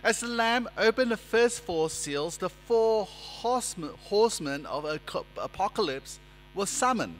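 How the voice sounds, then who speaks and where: Guy in his 40s preaching at a church lectern, kinda high bright voice, long echoey hall, faint hum. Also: sing-song, faint music behind. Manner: measured, emphatic preaching with hand gestures at a lectern. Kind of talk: sermon. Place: church sanctuary, indoors. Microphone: lectern microphone.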